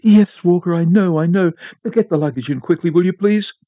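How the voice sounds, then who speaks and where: A man voicing an anxious, fretful older wealthy woman who talks in a high, breathless, fussing rush. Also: fairly quick, no echo, lo-fi sound.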